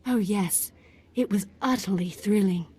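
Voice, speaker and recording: An actress playing a polite, adventurous young noble daughter in a video game, breezy and well-bred. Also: female voice, mid-range voice, a little slow, mellow, breathy edge. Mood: delighted, breezy. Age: young adult.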